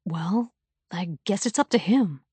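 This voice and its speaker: A woman doing a weary, gentle dad. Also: lowish voice, lively intonation, slow pace, husky, no room sound, full character voice. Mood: absent. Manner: soft, soothing, hesitant. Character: tired, gentle middle-aged father.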